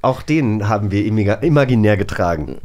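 A man's voice: on the low side, expressive, a little fast, darkish tone. A man hosting a casual, informal chat. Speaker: host.

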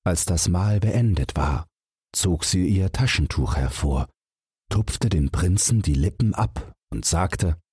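A male audiobook narrator with a low, strongly breathy and husky voice. He reads a fairy tale for bedtime at an unhurried, measured pace, expressively. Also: expressive, warm tone, no room sound, clean studio sound.

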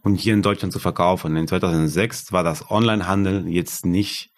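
A man, a guest talking about founding his yarn business, halting as he searches for German words. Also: sits low, steady tone. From Argentina. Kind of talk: casual interview.

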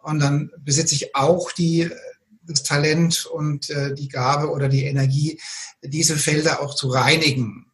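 A man hosting an interview, speaking calmly and affirming his guest. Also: on the high side, measured pace. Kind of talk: casual interview talk.

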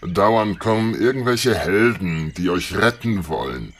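A man's voice: low-pitched, expressive, measured pace, a bit bright, slight background noise, heavily acted. A man voicing a politely aggrieved dragon in a German fairy-tale audio drama. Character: grumbling but courteous male dragon seeking peace.

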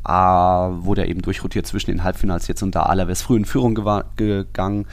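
A man's voice: low-pitched, little pitch movement, fairly quick. A man co-hosting a football podcast in casual, colloquial, opinionated talk. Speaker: podcast co-host.

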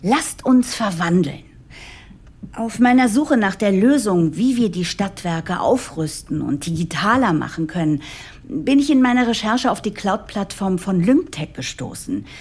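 A woman's voice: medium pitch, expressive, unhurried, a little mellow, slightly rough. A woman playing an earnest, idealistic new chief executive in a German audio drama. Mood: motivated, persuasive. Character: new female CEO, eager, idealistic, nervously determined. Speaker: lead character and narrator.

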